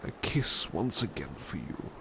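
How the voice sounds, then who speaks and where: A man acts out a doting butler murmuring comfort at bedtime in an ASMR roleplay. His low voice is hushed and halting, sometimes whispered, and it rises and dips freely in pitch. Clear ambient noise sits behind him. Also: big pitch swings, full character voice. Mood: sleepy, caring. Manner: hushed, sleepy, halting, often slipping into whispers. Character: devoted, tender male butler comforting a beloved at bedtime.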